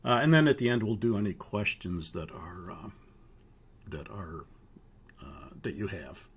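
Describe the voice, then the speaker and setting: An older man from Minnesota, hoarse from a cold, walking students through a flight training course in a halting way with many pauses. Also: lowish voice, expressive, a little hiss or hum. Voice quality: gravelly, husky. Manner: halting, with frequent uhs. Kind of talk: live online course walkthrough.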